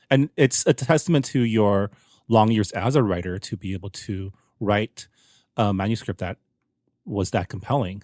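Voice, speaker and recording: A man speaking as a podcast host in a conversational interview, giving measured lead-ins to his questions. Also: on the low side, a little mellow, no room sound, studio quality.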